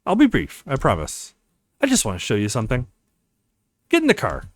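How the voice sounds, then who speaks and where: A man voicing an insistent, brisk investigator with urgent news in a visual novel, pressing and persuasive. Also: medium pitch, wildly swooping pitch, no room sound, clean studio sound.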